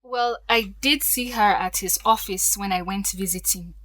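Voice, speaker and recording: A woman's voice: medium pitch, normal speed, a bit bright, close to an everyday voice. A woman playing an anxious, polite young bride-to-be in a radio drama, speaking hesitantly. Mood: troubled.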